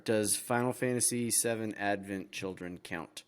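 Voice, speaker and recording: Male podcast co-host, lower pitch, informal conversational delivery. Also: a little slow, clear, resonant tone. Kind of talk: casual podcast chat.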